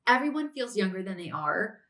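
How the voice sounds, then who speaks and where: A woman's voice: medium pitch, sing-song, a little fast, fairly bright tone. A woman in her late twenties hosting a podcast, giving casual advice in a chatty way, often using "like" and "if that makes sense". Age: 20s. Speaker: podcast host.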